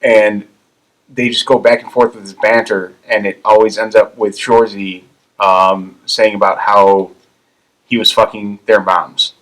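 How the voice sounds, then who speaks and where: A man co-hosting a podcast, retelling anecdotes casually in a halting way. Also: on the low side, level tone, a bit bright, rough recording. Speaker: podcast co-host.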